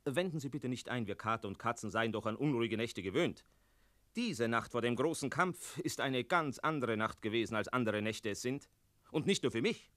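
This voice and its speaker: A man plays a talking tomcat telling the tale in a radio drama. The voice is bright and crisp-edged in a dry studio sound, wry and chatty as it confides in the listener. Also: medium pitch, normal speed, no echo, natural voice. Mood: wry, excited. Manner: chatty, addressing the listener directly. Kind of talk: radio play narration in character.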